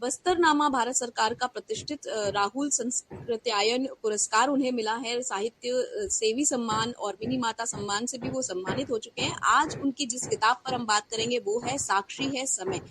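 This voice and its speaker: Woman co-host for a live book interview, slightly high voice, conversational, pauses mid-sentence. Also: a bit bright, slight background noise, rough recording.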